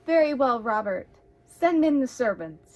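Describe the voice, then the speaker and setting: A woman's voice: a little high, very animated, moderate pace, poor audio. A woman playing a cutting, regal actress in a 1940s radio play, speaking formally and with command. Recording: faint background noise.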